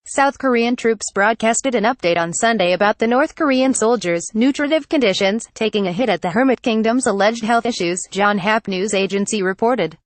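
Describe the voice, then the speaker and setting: A woman's voice: fairly high pitch, normal speed, hardly any pauses, no echo. A woman reading a scripted news report aloud as a narrator.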